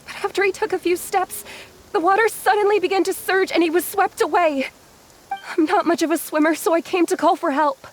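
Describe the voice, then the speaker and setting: Timid, apologetic young woman, high-ish voice, game scene. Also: steady tone, a little fast, slight background noise. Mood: worried. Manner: earnest, apologetic. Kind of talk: video game voice acting. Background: faint game music.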